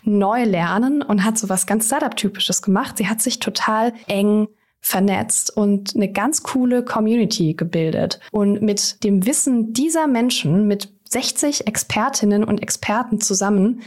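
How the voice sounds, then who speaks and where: A woman's voice: mid-range voice, unhurried, a little mellow. Upbeat woman hosting, voice a bit worn. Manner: upbeat, effusive introductions. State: slightly under the weather, voice strained. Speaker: podcast host. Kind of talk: conversational podcast interview.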